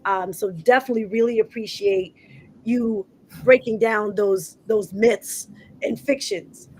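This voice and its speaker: A woman's voice: medium pitch, a little slow, a little hiss or hum, rough recording. A woman hosting a community talk show with a group of young people, speaking in a conversational way with frequent "um"s. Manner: warm, affirming, conversational with many "um"s. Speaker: host. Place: indoor room with a group.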